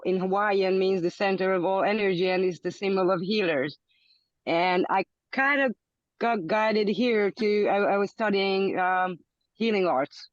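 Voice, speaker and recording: A woman's voice: mid-range voice, no echo. Woman guest, english, kinda muffled dull line, dry sound, restarts a lot. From Hungary. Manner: searching, repeats words when thinking. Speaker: podcast guest. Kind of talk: casual interview talk.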